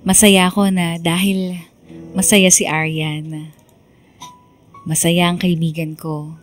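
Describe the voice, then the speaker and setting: Heartbroken, reflective young woman, lowish voice. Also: little pitch movement, takes it slowly, faint music behind. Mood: relieved, tender. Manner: confessional, sincere. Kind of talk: first-person radio drama narration.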